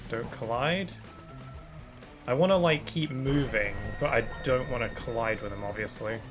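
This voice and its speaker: A man streaming a game, chatting casually and thinking aloud, a bit sniffly from hay fever, with bursts of excitement. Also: medium pitch, normal speed, over music. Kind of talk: casual gaming commentary.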